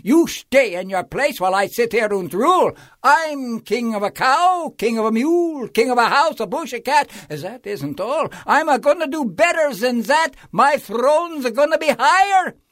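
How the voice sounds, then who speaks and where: A puffed-up male turtle king barks orders and gloats from his throne, pitched well above the reader's own voice and pushed loud. Every boast is drawn out and swings wildly up and down. The sound is dry and close. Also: animated, no echo. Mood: bellowing. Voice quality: bellowing, haughty, gloating. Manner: drawn-out shouted boasts, gleeful laughs. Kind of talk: storybook read-aloud.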